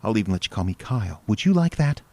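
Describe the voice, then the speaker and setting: A man's voice: fairly low pitch, sing-song, fast, gravelly, dark tone, no room sound, full character voice. An American man playing a bitter, defensive middle-aged husband and father who drinks, sarcastic and overly proper, in a naturalistic audio drama. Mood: taunting, menacing.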